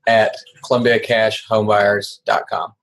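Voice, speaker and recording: A man's voice: lowish voice, level tone, a little slow, a bit bright. A man from South Carolina, a guest on a podcast, speaking casually and a little hesitantly, with many fillers. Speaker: guest, real estate investor. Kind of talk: casual interview.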